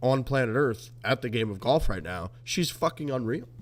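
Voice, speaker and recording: Man, podcast co-host, casual golf chat, swears freely, average pitch. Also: expressive, moderate pace. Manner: loose, swears freely, banters.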